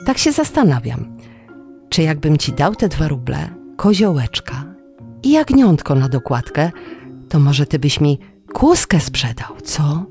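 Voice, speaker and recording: A man's voice: on the high side, very animated, measured pace, darkish tone, soft background music. A storyteller voicing a wily, poor male trickster who pleads in a wheedling, mock-humble way. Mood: coaxing.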